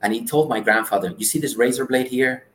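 A man's voice: medium pitch, fairly quick, fairly bright tone, a little hiss or hum. A man in his forties, born in Cuba, telling earnest stories as an interview guest. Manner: earnest, anecdotal storyteller. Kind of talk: casual interview storytelling. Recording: roomy echo.

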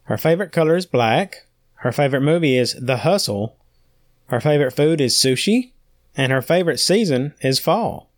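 A man's voice: on the high side, lively intonation, normal speed, no room sound, close to an everyday voice. A man playing an easygoing, mildly teasing husband on a game show in an audio drama.

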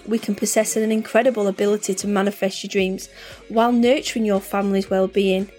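A woman in her forties hosting her own podcast, chatting casually and rambling with lots of fillers. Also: mid-range voice. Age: 40s. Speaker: podcast host. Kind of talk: casual solo podcast chat.

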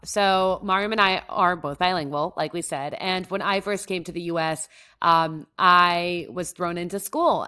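Lower-voiced woman co-hosting a podcast. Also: pushed and bright. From Mexico. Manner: upbeat storyteller, easy and friendly. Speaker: podcast co-host. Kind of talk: casual podcast chat.

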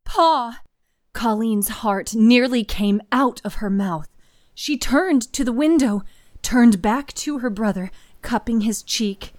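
A woman narrating dramatic horror fiction with deliberate, suspenseful phrasing. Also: on the high side, a little mellow, dry recording, studio quality.

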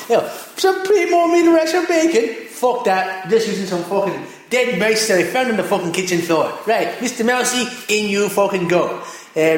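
A swearing, grumbling Irish cook put on by a man with a high, swooping voice that lurches up and down. He rants gruffly at the ingredients in a very echoey room. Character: foul-mouthed crude Irish male cook. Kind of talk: comedic cooking parody.

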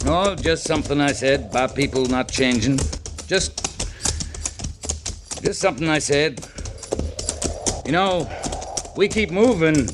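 An actor playing a cavalry officer in a 1950s radio western, on a noisy old recording. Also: male voice, on the high side, unhurried, pauses often, lightly acted. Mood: reflective. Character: gruff but softening male cavalry officer in Old West.

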